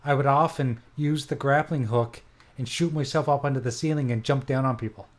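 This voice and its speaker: A man's voice: medium pitch, steady tone, a little fast. A man in his forties chatting on a podcast, telling rambling stories with many restarts. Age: 40s. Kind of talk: casual podcast chat.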